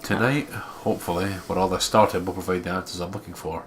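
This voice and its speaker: Low, nasal, congested man. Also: steady tone, brisk, a bit bright, slight background noise. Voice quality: nasal, blocked nose. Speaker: guest narrator on a let's play. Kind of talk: reading visual novel narration aloud.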